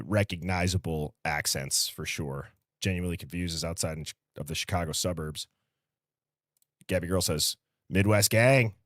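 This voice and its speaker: Livestream host: American male, early thirties, low voice, close dry sound, casual and conversational. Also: fairly bright tone, no room sound. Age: early 30s. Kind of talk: casual live-stream chat, reading out viewer comments.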